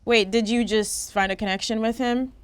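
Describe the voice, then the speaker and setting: A woman's voice: on the high side, fairly even intonation, moderate pace, clear, resonant tone. A woman hosting a panel show, moderating casually with short questions and remarks.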